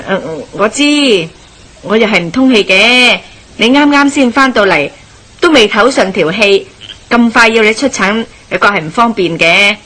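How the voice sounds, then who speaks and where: A high-voiced, chatty village busybody. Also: female voice, a little slow, bright, forceful tone. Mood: chatty, solicitous. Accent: Hong Kong Cantonese. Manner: gossipy, exclamatory, eager. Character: chatty, nosy, warm-hearted village married woman. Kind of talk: radio drama acting.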